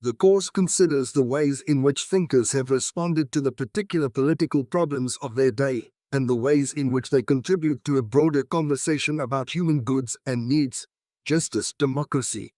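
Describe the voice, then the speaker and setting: A man reading formal practice passages aloud in a measured, carefully enunciated way. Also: mid-range voice, dark tone, dry recording, studio quality.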